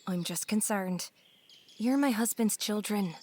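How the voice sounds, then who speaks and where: A woman playing an earnest young new wife in an audio drama, gentle and polite but persistent. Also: mid-range voice, measured pace, close to an everyday voice. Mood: concerned, pleading. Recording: faint noise, birdsong behind the voice.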